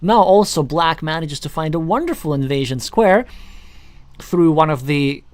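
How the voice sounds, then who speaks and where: A man's voice: on the high side, lively intonation, normal speed, no room sound. A man whose first language is Russian, giving live board game commentary in an analytical way, thinking aloud as he goes. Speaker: tournament commentator.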